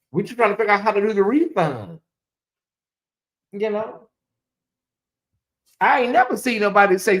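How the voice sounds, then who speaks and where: A man talks casually to his livestream viewers from home. His voice is hoarse and fading, and he rambles, circles back and repeats lines with dry sarcasm. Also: extremely high pitch, a bit bright. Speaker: livestream host. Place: home in Atlanta, cold room.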